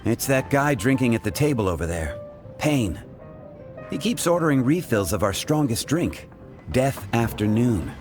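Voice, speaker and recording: A man acts out an affable fantasy tavern keeper with a mid-pitched voice. He is courteous and chatty, like a busy barman in a video game, and clear music plays behind him. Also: big pitch swings, moderate pace, close to an everyday voice. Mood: affable, thoughtful. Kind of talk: scripted video game acting.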